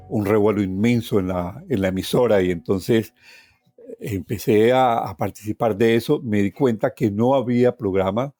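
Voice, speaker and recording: Low-voiced Colombian man telling his story. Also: dark tone, dry recording. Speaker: radio journalist recounting his life. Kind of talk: personal storytelling in an interview. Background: plucked guitar music.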